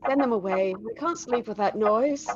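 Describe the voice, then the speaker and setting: A woman's voice: fairly high pitch, moderate pace, dull audio, soft background music, lightly acted. An actress playing a worried, practical wife and mother in a vintage-style radio drama. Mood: sleepy irritation.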